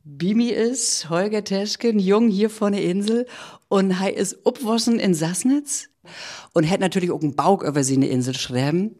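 A woman radio reporter with a mid-pitched voice and a northern German, Low German accent. She talks conversationally as she interviews and presents. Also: animated, moderate pace, dark tone.

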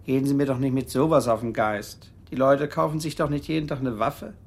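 An actor playing an irritable, brusque gun dealer in a German radio play. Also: male voice, medium pitch, fairly even intonation, fairly quick, close to an everyday voice. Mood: irritated.

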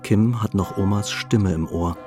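A man narrating a bittersweet family radio play, understated and wry, with a creaky voice. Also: on the low side, unhurried, over music, close to an everyday voice. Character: male storyteller of a bittersweet family tale.

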